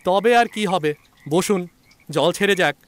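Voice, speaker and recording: A man's voice: high-pitched, a little slow, voice pushed up. A man voicing a cocky young doctor in an audio story, a sceptic who talks in a mocking, needling, chatty way. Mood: teasing, eager. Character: cocky sceptical young male doctor, teasing and polite on the surface. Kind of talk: audio story character acting.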